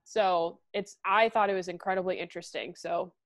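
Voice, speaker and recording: A young woman from the Midwest, co-hosting a podcast in excitable, chatty talk that slips into casual swearing. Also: on the low side, normal speed, no room sound, clean studio sound. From American Midwest. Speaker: podcast co-host. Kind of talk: casual chat.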